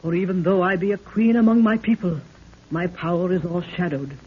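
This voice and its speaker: A woman's voice: on the low side, fairly quick, husky. An actress playing a solemn, fearful queen of a lost people, with stately archaic diction, in an old radio drama. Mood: solemn, urgent. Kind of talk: 1930s radio drama acting. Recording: muffled, noisy 1930s radio recording.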